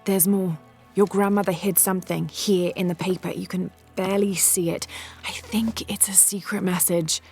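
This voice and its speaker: A woman acts a composed young female archaeologist in a video game cutscene. Her voice is slightly low, with earnest, polite and curious delivery. Quiet music runs underneath. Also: fairly even intonation, moderate pace, natural voice. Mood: intrigued.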